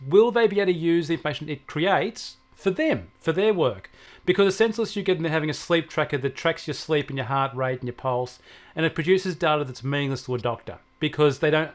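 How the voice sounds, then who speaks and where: Higher-pitched Australian man explaining technology. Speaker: technology expert answering questions. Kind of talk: conversational explanation and advice.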